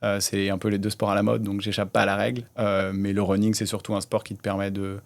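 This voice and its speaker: Man, thirties, low pitch, flat delivery, hesitant, casual interview guest. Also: fast, gravelly. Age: 30s. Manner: relaxed, many hesitations, storytelling. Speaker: startup founder, guest.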